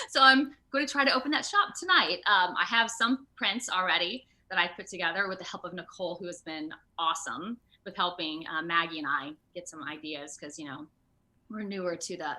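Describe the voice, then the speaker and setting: A woman speaking as a voice actor guest in a casual, chatty interview, expressive and thinking aloud as she goes. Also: medium pitch. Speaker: guest, video game voice actor. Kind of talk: casual animated interview chat. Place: remote video call.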